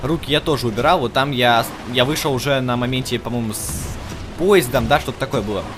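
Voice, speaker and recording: A man's voice: medium pitch, a little fast. Chatty man doing casual gameplay commentary over music. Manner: chatty, talks to game characters and viewers. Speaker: gaming video host. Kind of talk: casual let's play commentary.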